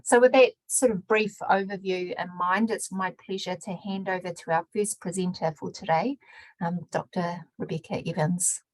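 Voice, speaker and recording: A woman opening and hosting a research webinar, measured, mixing in Māori greetings. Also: lowish voice, steady tone, dry recording, poor audio. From New Zealand. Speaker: webinar host and research director. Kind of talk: scripted webinar introduction.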